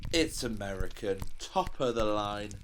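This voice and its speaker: A brisk, practical young man, played by a man in a fan audio drama. His voice moves up and down a lot as he talks impatiently, with a faint noise behind him. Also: lowish voice, animated.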